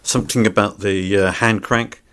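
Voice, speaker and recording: A man's voice: lowish voice, steady tone, moderate pace, a bit bright, smooth. A man giving an unscripted product review in a plain-spoken, somewhat hesitant way. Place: indoors.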